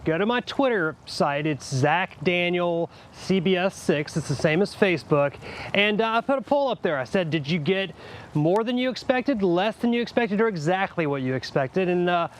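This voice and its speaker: A man giving a live TV weather forecast in a chatty, relaxed way, with fillers. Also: high-pitched, moderate pace, a little hiss or hum. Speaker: TV meteorologist.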